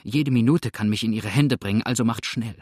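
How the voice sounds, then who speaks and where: A man's voice: fairly low pitch, a little fast, darkish tone, breathy edge, no echo, studio quality. A man voicing a hunted, ruthless outlaw in an audiobook, grim and threatening, with a sneering edge. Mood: urgent. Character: hunted, ruthless male fugitive outlaw, exhausted and menacing. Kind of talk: audiobook character acting.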